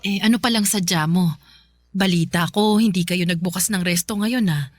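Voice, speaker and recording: A woman's voice: fairly low pitch, moderate pace, a little hiss or hum, close to an everyday voice. Actress as homely, kindly Filipina mother. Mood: concerned. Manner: homely, concerned, chatty. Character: kindly middle-aged mother welcoming her family. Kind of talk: Filipino radio drama acting.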